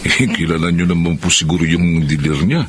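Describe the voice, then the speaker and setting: Guy playing sly pinoy loan shark in a radio drama, super deep voice, fake polite, bit of background noise. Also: flat delivery, natural voice. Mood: politely pressing. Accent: Filipino. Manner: falsely polite, unhurried, insinuating. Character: sly, calculating male drug pusher and moneylender. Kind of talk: Filipino radio-style melodrama acting.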